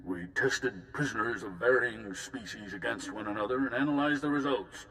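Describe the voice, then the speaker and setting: Man acting a haughty, forceful senator. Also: moderate pace, natural voice. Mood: defensive. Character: haughty, defensive male senator, self-righteous and scornful. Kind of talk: video game cutscene acting. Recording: noisy, with low rumble.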